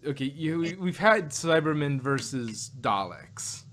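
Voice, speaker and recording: A man chatting casually about stories in a rambling, halting way, often repeating words as he works out a thought. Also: fairly high pitch, expressive, a bit bright. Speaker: podcast host reviewing audio dramas. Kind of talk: casual chat, fan speculation. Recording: noticeable background noise.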